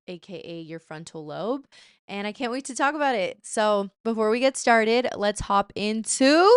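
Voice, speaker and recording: A woman's voice: mid-range voice, clear, resonant tone, no echo, clean studio sound. A woman in her twenties hosting her podcast, chatting casually and playfully with slang asides. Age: 20s. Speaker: podcast host. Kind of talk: casual chat.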